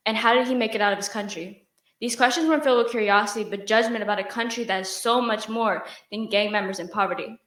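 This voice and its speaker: A woman's voice: a little high, fairly bright tone, reverberant. A young woman giving a prepared, earnest speech to an audience, with occasional restarts. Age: young adult. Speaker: student presenter.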